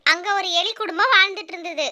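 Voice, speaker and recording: A woman's voice: very high-pitched, quick pace, a crowd in the background. A woman narrating a scripted children's animal story.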